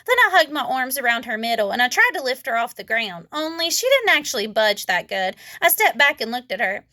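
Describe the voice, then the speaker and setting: High, bright-voiced woman reading a storybook. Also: very animated, fairly quick, no room sound, clean studio sound. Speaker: storybook reader. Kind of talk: children's read-aloud narration.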